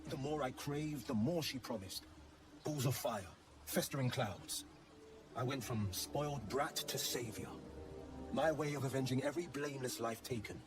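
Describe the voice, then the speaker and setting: An actor voicing an earnest, haunted heroic warlock telling a tale in a brooding way, in a video game. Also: male voice, medium pitch, normal speed, music in the background, lightly acted, poor audio. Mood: regretful. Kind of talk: dramatic video game monologue.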